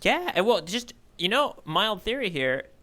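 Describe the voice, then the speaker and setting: A man's voice: high-pitched, very animated, measured pace, bright, forceful tone. A man co-hosting a casual readalong podcast, talking in a playful, rambling way that often interrupts itself. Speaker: podcast co-host.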